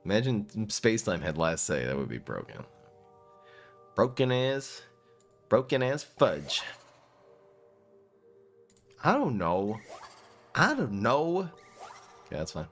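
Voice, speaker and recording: Jokey low-voiced male game streamer. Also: wildly swooping pitch, takes it slowly, long pauses, a bit bright, soft background music. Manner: joking, rambling, often laughs at himself. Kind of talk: casual live-stream commentary. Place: small room indoors.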